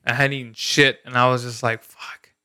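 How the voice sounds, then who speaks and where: Bright, crisp-voiced man from El Salvador. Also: mid-range voice, level tone, normal speed, no echo, clean studio sound. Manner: storytelling, laughs often, slangy. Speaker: podcast co-host. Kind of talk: casual chat.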